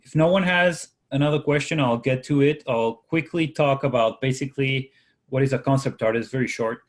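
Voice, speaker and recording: Man, forties, spanish native speaker, workshop instructor, laid-back rambling talk, ums and restarts. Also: medium pitch. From Latin America. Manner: relaxed, rambling, many fillers and restarts. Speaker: workshop instructor, concept artist. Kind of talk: casual online workshop talk.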